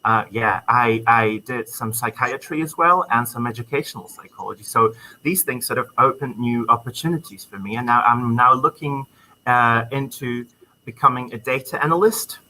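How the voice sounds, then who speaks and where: A man's voice: medium pitch, moderate pace, sharp tone, a little hiss or hum, low-quality recording. A young man from the Czech Republic with a Czech accent, a student guest talking enthusiastically in a casual interview, with plenty of ums. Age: young adult. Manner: friendly, enthusiastic, many ums. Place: university computer lab.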